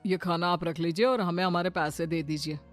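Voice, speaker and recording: A woman voicing a kindly but exasperated middle-aged mother-in-law in a cartoon story, expressive, chiding yet affectionate. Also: lowish voice, fairly quick, loud music behind the voice, voice pushed down, lightly acted. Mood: surprised, exasperated. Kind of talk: Hindi moral cartoon story acting.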